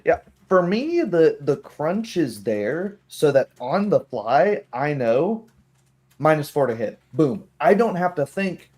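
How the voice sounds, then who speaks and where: A man's voice: on the high side, sing-song, slow pace, a little mellow. A man talking casually as a game master, rambling and acting out imagined remarks as he goes. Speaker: game master of a tabletop roleplaying group. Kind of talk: casual chat about tabletop game rules.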